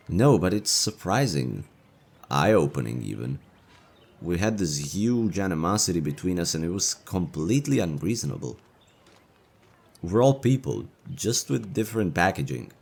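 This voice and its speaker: A young elven leader voiced by a man with a low-pitched voice, speaking as an affectionate boyfriend in an intimate audio roleplay. He is casual and teasing, yet idealistic at heart. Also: gravelly, a little mellow, exaggerated voice. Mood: playful, casual, then earnest, hopeful. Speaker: voice actor in romantic audio roleplay.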